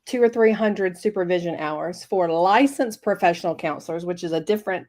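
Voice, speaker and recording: A middle-aged woman speaks over a video call, her voice slightly low and scratchy as she recovers from bronchitis. She talks in a halting way, with frequent ums and restarts. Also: darkish tone. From Tennessee. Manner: hesitant, many fillers. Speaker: guest, health coach. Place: home office.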